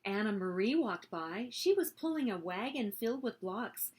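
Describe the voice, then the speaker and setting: A woman hosting a story time, reading a picture book aloud in an expressive, animated way meant for young children. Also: medium pitch, normal speed, slightly rough.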